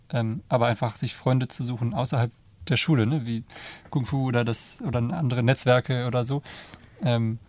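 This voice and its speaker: A man's voice: mid-range voice, a little mellow. Tentative man thinking aloud. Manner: tentative, many restarts and fillers. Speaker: discussion participant. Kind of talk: casual discussion.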